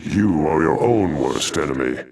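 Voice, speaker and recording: A man voicing a noble, calm alien warrior in a video game, speaking in a formal, archaic, measured way. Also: very deep voice, husky, full character voice. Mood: calm mockery. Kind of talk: dramatic video game voice acting.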